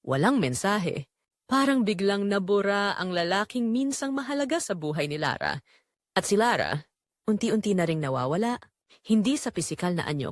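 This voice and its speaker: A woman narrating a scripted romantic drama as storyteller, with dramatic, measured phrasing and pauses. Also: medium pitch, no echo, clean studio sound.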